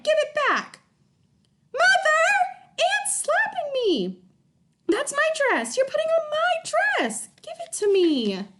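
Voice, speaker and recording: A woman's voice: fairly bright tone, slight background noise, full character voice. A woman voicing a frightened, squealing little girl in a read-aloud story. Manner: shrill, shrieking.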